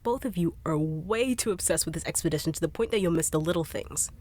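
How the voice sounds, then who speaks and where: Woman, low voice, dry sarcastic no-nonsense delivery for audio drama. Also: fast talker, a little mellow. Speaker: voice actor in audio roleplay. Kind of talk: acted audio roleplay.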